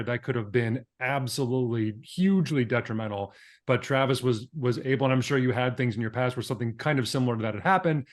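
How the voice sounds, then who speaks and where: A man hosting a podcast interview in a conversational style, building up to questions with long, wordy lead-ins. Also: medium pitch. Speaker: podcast host.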